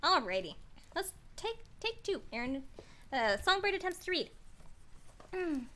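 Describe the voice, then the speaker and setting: A woman's voice: very high voice, very animated, measured pace, bright, forceful tone. A woman live-streaming a read-aloud of a children's story, chatty and playful, with casual, self-deprecating asides.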